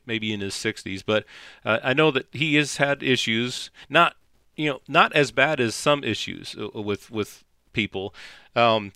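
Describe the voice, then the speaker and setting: A man's voice: medium pitch, bright, forceful tone, clean tone, no room sound, clean studio sound. A middle-aged man hosting a podcast in casual chat, rambling and chuckling as he talks. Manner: rambling, chuckling, self-correcting. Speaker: podcast host.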